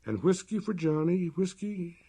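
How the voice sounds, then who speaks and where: A man's voice: high-pitched, lively intonation, measured pace, mellow, slightly rough, voice pushed up, heavily acted. A man voicing a simple-minded, creeping drinker who wheedles for whiskey in a storybook reading. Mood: wheedling.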